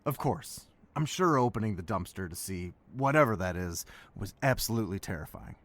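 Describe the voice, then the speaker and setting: A man's voice: on the low side, expressive, normal speed, fairly bright tone. A man playing a polite, eager patrol officer in an audio drama, procedural and deferential. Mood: curious, sheepish. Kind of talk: naturalistic audio drama acting.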